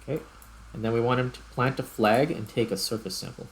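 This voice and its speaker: A man's voice: mid-range voice, a little fast. A man giving casual video game commentary as host, thinking aloud in short fragments. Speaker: gaming YouTuber, host. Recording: clear background noise.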